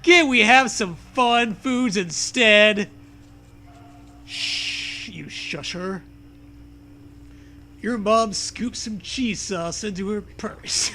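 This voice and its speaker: A man's voice: sits high, extremely slow, long pauses, sharp tone. A man hosting a comedy show, reading parody fiction aloud in a mock-dramatic way, often cracking up. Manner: mock-dramatic, breaks into laughter while reading. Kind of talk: comic read-aloud of parody fiction with banter.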